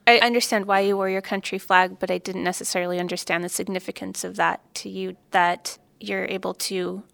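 A woman in her late thirties asking her colleague curious questions. Her voice is mid-pitched with a gravelly fry, recorded close and dry. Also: level tone, brisk, fairly bright tone, no room sound. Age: 30s. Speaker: PhD co-advisor, interviewer. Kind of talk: casual conversation.

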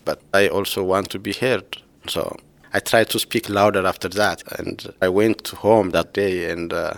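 Soft-spoken low-voiced man. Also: steady tone, a little slow, vocal fry, dry recording. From Ethiopia. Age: 30s. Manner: soft-spoken, laughs often. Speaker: former PhD student, interviewee. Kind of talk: casual conversation.

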